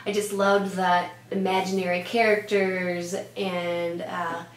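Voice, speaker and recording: A woman's voice: on the low side, level tone, slow. A woman in her thirties, born in Denmark with Danish as her first language, chatting casually as a pediatrician answering interview questions, with lots of "uh" and "um". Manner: chatty, with frequent "uh" and "um" fillers. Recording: echoey room with faint hum.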